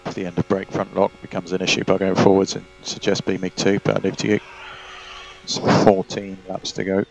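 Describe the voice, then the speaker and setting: Calm deep-voiced race engineer on radio. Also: male voice, a little fast, gravelly, slight background noise, low-quality recording. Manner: calm, steady, repeats key numbers. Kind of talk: team radio instructions.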